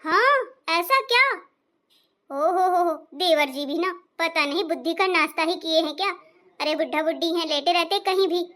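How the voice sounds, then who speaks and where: A woman's voice: very high voice, normal speed, bright, forceful tone. A woman voicing a meddling elder sister-in-law in a cartoon, mocking and stirring up trouble. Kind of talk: cartoon voice acting.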